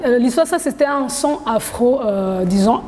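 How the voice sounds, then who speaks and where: Lady, highish soft voice, halting casual interview chat, reverby with some noise. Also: lively intonation. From Congo-Brazzaville. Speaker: singer being interviewed. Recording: reverberant hall, background noise.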